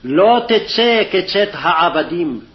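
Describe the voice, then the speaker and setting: A man's voice: high-pitched, expressive, fast talker. A man formally reciting scripture phrase by phrase, on a dull, lo-fi archival recording. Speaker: scripture reader. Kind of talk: scripted Hebrew Bible reading.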